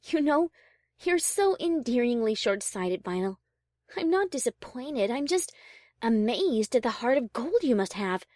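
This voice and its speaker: A woman's voice: fairly high pitch, big pitch swings, measured pace, darkish tone, no echo, clean studio sound. A woman voicing a refined, tender female pony cellist in an audio drama, speaking in an articulate, earnest way.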